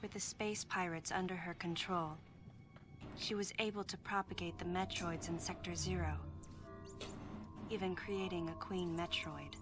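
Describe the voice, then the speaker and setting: A woman playing a pensive soldier who narrates her memories in a measured, introspective game monologue. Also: lowish voice, steady tone, natural voice. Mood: somber. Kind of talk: scripted dramatic game narration. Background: cinematic game music.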